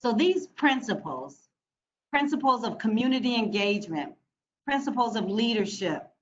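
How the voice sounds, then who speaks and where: A woman's voice: fairly high pitch, sing-song. American woman giving speech, dull noisy audio. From United States (Michigan). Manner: deliberate, emphatic, with rhetorical repetition. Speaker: speaker from a funding organization. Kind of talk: prepared formal speech. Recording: dull lo-fi audio with background noise.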